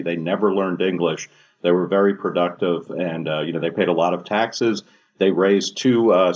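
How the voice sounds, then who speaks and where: A man with a very low voice tells family stories in a loose, conversational way. He pauses often on "uh" and "um" between thoughts. The sound is dull and lo-fi. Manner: anecdotal, filler-heavy. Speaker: discussion panelist. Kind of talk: casual podcast discussion. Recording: dull lo-fi audio.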